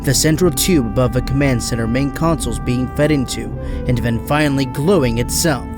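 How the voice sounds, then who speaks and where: A man's voice: mid-range voice, fairly quick, over music. A man reading scripted dramatic narration for an audio drama.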